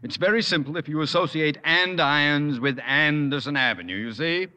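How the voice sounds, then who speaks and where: An actor playing a pompous, exasperated middle-aged school principal in a 1950s radio sitcom, blustery and sarcastic. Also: male voice, a little high, moderate pace, bright, forceful tone. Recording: dull old radio broadcast with reverb and faint hiss.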